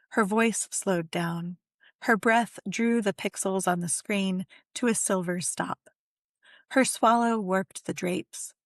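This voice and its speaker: A woman's voice: mid-range voice, no echo, studio quality. A woman speaking as a guest poet and editor in a literary conversation. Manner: effusive, conversational. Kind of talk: casual literary conversation with poem reading.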